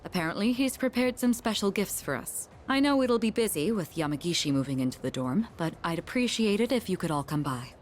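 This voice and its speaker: A woman's voice: on the low side, lively intonation, brisk, hardly any pauses, slight background noise, lightly acted. A woman voicing a composed young female student leader in a video game, formal, courteous and authoritative. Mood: businesslike.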